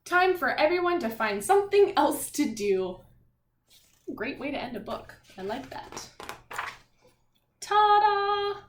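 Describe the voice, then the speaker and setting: A woman teacher talking young children through a read-aloud and math lesson in an animated, encouraging, sing-song way. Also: high voice, slow, pauses often. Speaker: primary school math teacher. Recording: echoey room, faint background noise.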